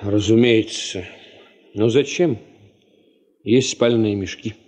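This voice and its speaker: An actor playing a sceptical, formal expedition chief in a radio play, husky, dry and educated. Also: male voice, lowish voice, slow, darkish tone. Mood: matter-of-fact. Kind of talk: 1970s Soviet radio play acting.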